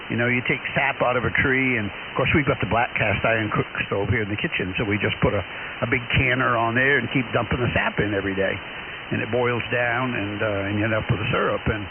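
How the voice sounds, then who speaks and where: A man's voice: mid-range voice, fairly even intonation, fast talker, phone-quality sound. Man on a hissy, noisy ham radio. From Maine, United States. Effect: shortwave radio. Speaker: amateur radio operator, caller. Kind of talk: casual radio chat.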